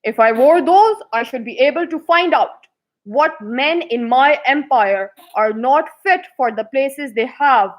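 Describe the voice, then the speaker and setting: A woman's voice: high voice, a little slow, low-quality recording. A woman voicing a vain, self-assured emperor in a children's fairy tale. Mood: eager, self-satisfied.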